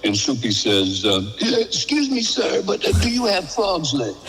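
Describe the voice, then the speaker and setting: A man's voice: a little high, huge pitch swings, unhurried, a bit bright, slight background noise. An older American man being interviewed, telling casual anecdotes in a chatty way and acting out the dialogue as he goes. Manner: chatty, acts out quoted dialogue in stories. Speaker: radio veteran being interviewed. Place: remote call into a live radio broadcast. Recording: long hollow reverb.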